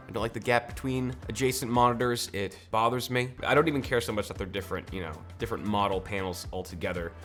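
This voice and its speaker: A man's voice: medium pitch, a bit bright. An American man in his thirties giving casual, joking, conversational commentary as a tech video host. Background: background music.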